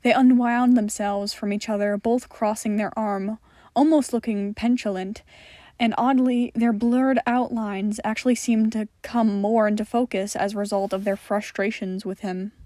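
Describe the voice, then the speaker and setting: A woman's voice: mid-range voice, steady tone, normal speed, warm tone, dry recording, studio quality. A woman reading scripted fiction aloud as the narrator of a story recording. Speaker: podfic narrator.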